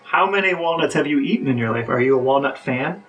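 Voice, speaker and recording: A man's voice: mid-range voice, expressive, quick pace, fairly bright tone, recorded from a distance, highs cut off, soft background music. A man co-hosting a podcast in casual chat, asking tongue-in-cheek questions in a mock-serious way. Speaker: podcast co-host.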